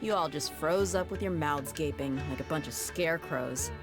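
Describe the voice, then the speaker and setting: A woman's voice: sits low, moderate pace, music in the background. A woman playing a confident young mercenary in a fantasy game, tough and easygoing, with a casual, teasing manner. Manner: casual, self-assured, teasing.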